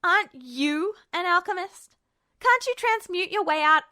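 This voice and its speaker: Defiant, mocking boy of twelve for audio fiction, played by a woman; high, bright, loud and sweary. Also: lively intonation, a little slow, exaggerated voice. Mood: furious, scornful. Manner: shouting, taunting, sweary.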